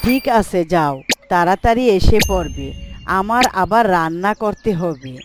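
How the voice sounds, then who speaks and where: A woman's voice: on the low side, expressive, moderate pace, very noisy, close to an everyday voice. A woman playing a caring, practical village housewife and mother in a cartoon story. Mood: caring, brisk. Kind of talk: dubbed moral story cartoon acting.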